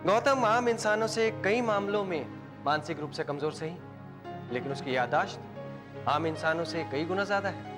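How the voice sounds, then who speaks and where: An actor playing a calm, jovial doctor who reassures a family, teases gently and slips English phrases into his Hindi. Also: a little high, normal speed, lightly acted. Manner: reassuring, lightly teasing, mixes English phrases. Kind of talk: 1990s Hindi film acting. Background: film score music.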